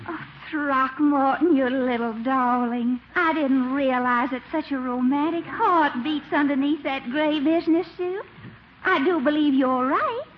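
High-voiced, coy belle. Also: female voice, a little slow. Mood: adoring. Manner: coy, gushing, affectionate. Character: coy, gushing Southern belle bride-to-be. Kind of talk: 1940s radio comedy acting. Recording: muffled, noisy old 1940s radio recording.

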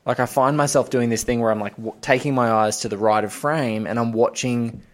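A man's voice: mid-range voice, fairly even intonation, brisk. A man hosting a casual film discussion, talking in an enthusiastic, analytical and digressive way. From Australia. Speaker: podcast host.

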